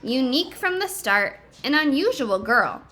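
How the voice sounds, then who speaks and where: Woman, higher-pitched and unhurried, as a teacher reading a storybook to children, emphatic, with explanatory asides and questions. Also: sing-song, pushed and bright, slight background noise. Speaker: teacher reading a picture book aloud to children. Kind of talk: expressive children's read-aloud with explanatory asides.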